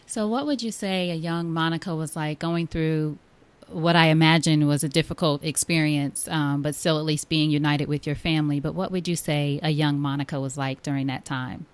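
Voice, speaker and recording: Woman, podcast host, smooth clear voice, fairly even low-pitched delivery, close dry sound. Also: normal speed, no echo. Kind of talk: upbeat podcast intro and interview.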